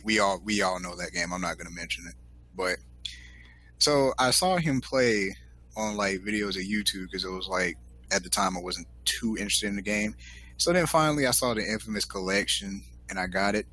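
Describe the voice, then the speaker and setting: Male podcast guest, lowish voice, bright tone, chatty, animated storytelling. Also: a little hiss or hum, rough recording. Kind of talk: casual podcast chat.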